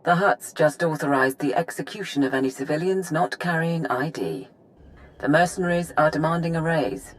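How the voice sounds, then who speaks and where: A woman's voice: low voice, moderate pace, natural voice, poor audio. A woman playing a pragmatic, businesslike Imperial intelligence officer briefing a commander in a video game. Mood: pragmatic, confiding.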